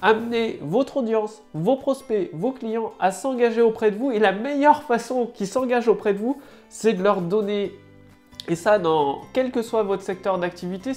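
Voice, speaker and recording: A woman's voice: mid-range voice, a little mellow. A woman with a head cold giving a casual marketing tutorial to camera, loose and full of fillers and restarts. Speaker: online marketing coach.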